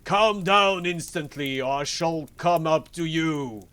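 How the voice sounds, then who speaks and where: A man's voice: on the low side, dry recording, natural voice. A man voicing a stern, murderous husband from a fairy tale, in a commanding, emphatic way. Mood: bellowing. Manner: commanding, drawn-out emphatic shouts. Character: menacing murderous husband, stern, bellowing tyrant. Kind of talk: dramatic fairy-tale storybook reading.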